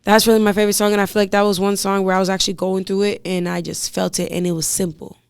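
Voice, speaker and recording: A woman rapper with a raspy voice, talking casually and with lots of slang in an interview. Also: medium pitch, little pitch movement, quick pace, dry recording, clean studio sound. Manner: slangy, rambling, laughs often. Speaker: rapper guest.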